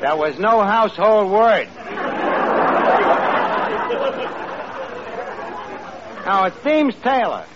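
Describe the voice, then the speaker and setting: An actor playing a brash, blustering New York tavern manager with slangy, ungrammatical speech and highbrow pretensions, in old-time radio comedy style. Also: male voice, big pitch swings, normal speed, a loud crowd. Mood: chatty. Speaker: comedy show lead. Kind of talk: 1940s-50s radio comedy acting. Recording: muffled vintage radio recording with noisy studio audience.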